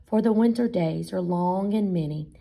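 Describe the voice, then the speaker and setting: A woman voicing a calm, dreamy male field mouse poet in a storybook, slowly and deliberately, gently declaiming. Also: fairly low pitch, creaky.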